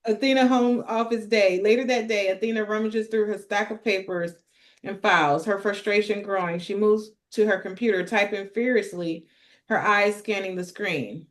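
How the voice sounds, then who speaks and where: A woman's voice: mid-range voice, fairly even intonation, moderate pace. A woman narrating a script at a table read, reading out stage directions.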